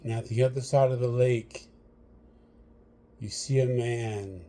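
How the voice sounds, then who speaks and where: Man, meditation guide, relaxed soft-edged tone, very unhurried, measured phrasing, long pauses. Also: mid-range voice. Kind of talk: guided meditation, spoken slowly to the listener.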